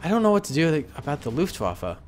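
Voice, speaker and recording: A young man gives easygoing commentary over a strategy game, joking in slang as he plays. His pitch moves around a lot as he talks. Soft music runs faintly beneath his voice. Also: sing-song, fast. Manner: playful, jokey slang. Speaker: gaming YouTuber. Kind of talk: casual gameplay commentary.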